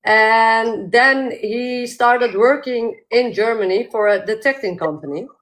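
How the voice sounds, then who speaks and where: A woman's voice: on the high side, a bit bright, low-quality recording. A woman from the Netherlands, a guest and metal detectorist, telling her story casually and laughing often, with many ums and likes. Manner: chatty, laughs often, fills pauses with uh and like. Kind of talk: casual interview chat.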